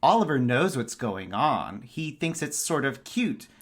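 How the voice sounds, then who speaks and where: A man guests on a casual book podcast. His delivery is playful and emphatic, with animated pitch that swings widely as he gives his opinions. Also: measured pace, noisy background.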